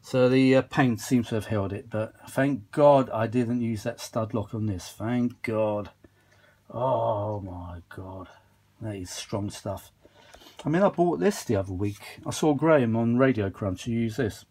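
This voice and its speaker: A tired man with a husky voice, chatting casually and a little exasperatedly in a rambling hobby repair vlog, recorded indoors on a handheld camera. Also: on the low side, measured pace, warm tone. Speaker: vintage audio hobbyist vlogger. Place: indoors, small home workshop. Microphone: handheld phone or camera behind the lens.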